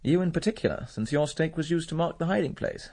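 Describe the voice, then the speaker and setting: Cynical ex-soldier: fast, animated delivery, dry urbane logic, radio drama. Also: male voice, a little high. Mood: cool, wry. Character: cool, cynical male war veteran, calmly calculating.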